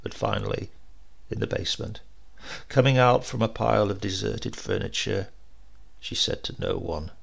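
A man reading a literary supernatural tale aloud in a measured, expressive storytelling manner. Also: fairly low pitch, gravelly, husky. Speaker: story reader.

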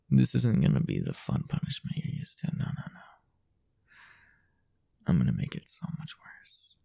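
Man acting deep, gravelly possessive boyfriend. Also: mellow, full character voice. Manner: halting, teasing, breathy half-whispered asides. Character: possessive, jealous, dominant young boyfriend. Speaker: audio roleplay voice actor. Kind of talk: improvised intimate boyfriend audio roleplay.

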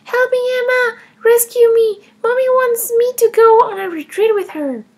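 A woman voicing a defiant, bratty kindergarten girl in a comedy skit, with a high, whiny child's voice and a sassy, stubborn way of talking. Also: normal speed. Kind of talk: comedy skit role-play.

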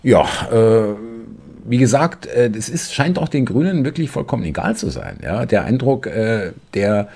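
A man in his fifties hosting casual political commentary from a home desk, halting with many filler sounds and sarcastic asides. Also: medium pitch, very animated, creaky, a little mellow, slightly rough.

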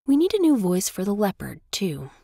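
A woman giving a casual, chatty software tutorial voice-over as host. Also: medium pitch, huge pitch swings, moderate pace, creaky, no echo, clean studio sound. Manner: informal, chatty. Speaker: tutorial host, professional narrator.

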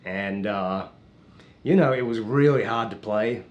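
A man's voice: on the low side, unhurried, darkish tone, highs cut off. Australian man with a faint Southern twang. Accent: Australian, with a slight American Southern drawl. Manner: friendly, talks with his hands, frequent "you know". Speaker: guest musician. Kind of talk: studio interview. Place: small TV studio with green screen.

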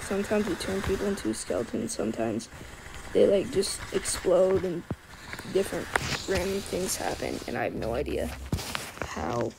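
A woman with a scratchy, quiet voice, rambling casually while playing a game. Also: medium pitch, gravelly. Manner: quiet, soft-spoken, trailing off. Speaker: gaming video creator. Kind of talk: rambling casual commentary over gameplay.

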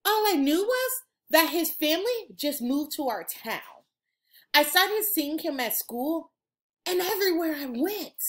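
Middle aged lady doing a kids read aloud, super expressive and swoopy, takes her time. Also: high voice. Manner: animated, dramatic, drawn-out emphasis. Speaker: elementary school teacher reading aloud. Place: room at home. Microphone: video call, computer at desk distance.

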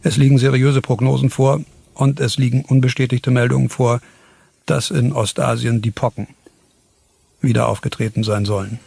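An actor playing a solemn, defiant doctor and scientist, speaking deliberately in a radio drama monologue. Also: male voice, mid-range voice, flat delivery, creaky, a little mellow, slightly rough. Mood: solemn, resolute. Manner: deliberate, declamatory. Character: male physician-scientist, solemn, defiant, convinced of his mission. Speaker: scientist giving a statement.

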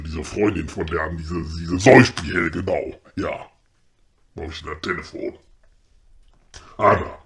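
A man playing a grumpy, bossy woodcarver in a comedy audio drama, blunt and colloquial, with a husky voice. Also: low-pitched, fairly bright tone, full character voice. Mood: baffled. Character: grumpy, bossy male woodcarver of a fairy-tale workshop.

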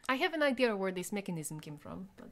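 A woman's voice: medium pitch, sing-song, fairly quick, a bit bright, a little hiss or hum. A woman player in a tabletop game, speaking in conversational in-character roleplay.

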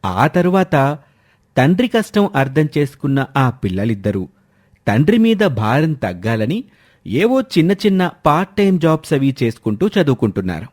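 A man's voice: on the high side, very animated, moderate pace, a little mellow. A man narrating a scripted moral story as its storyteller.

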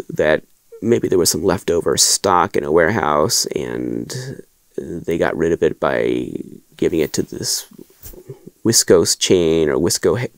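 A man in his thirties with a very low voice and a gravelly edge speaks slowly and thoughtfully to camera. His pitch moves a lot as he explains, with plenty of restarts. The sound is close and dry, from a small wood-paneled room. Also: dry recording. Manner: thoughtful, measured, frequent restarts and uh fillers. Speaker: collectibles show host. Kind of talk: casual explanatory talk to camera. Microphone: fixed camera at desk, framed from chest up.

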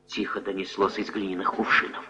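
A man's voice: low voice, level tone, brisk. A man narrating a scripted fairy tale in a storytelling style, acting out the dialogue. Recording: dull old film soundtrack with music behind.

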